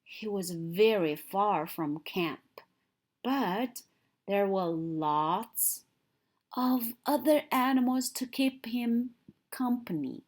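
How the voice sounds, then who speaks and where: Slow-paced woman reading a children's story. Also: mid-range voice, animated. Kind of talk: expressive read-aloud storytelling for young children.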